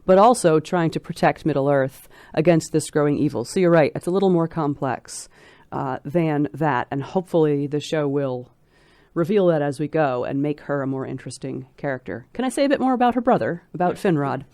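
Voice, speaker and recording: A woman's voice: sits low, animated, brisk, warm tone, dry recording. A woman speaking as an interview guest, explaining and analysing in an articulate, emphatic, scholarly manner.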